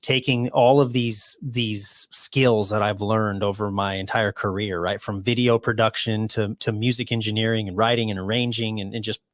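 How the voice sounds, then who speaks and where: Laid-back man in his forties, low voice. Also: fairly even intonation, moderate pace, few pauses, no echo, clean studio sound. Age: 40s. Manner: laid-back, says "man" and "dude" a lot. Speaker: podcast guest, awards show founder. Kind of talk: casual podcast chat.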